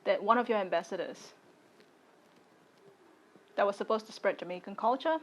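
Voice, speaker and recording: A young woman in her late twenties speaks with a voice of ordinary pitch for a woman. She talks in short, measured phrases that stay firm and deliberate as she makes her personal statement to the camera. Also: rough recording. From Singapore. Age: late 20s. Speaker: content creator.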